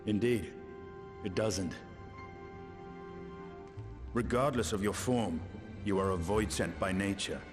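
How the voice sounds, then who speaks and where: A man's voice: fairly low pitch, takes it slowly, pauses often. A man playing a blunt, pragmatic warrior in a video game cutscene, steely and direct. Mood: challenging. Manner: blunt, challenging. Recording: prominent music behind.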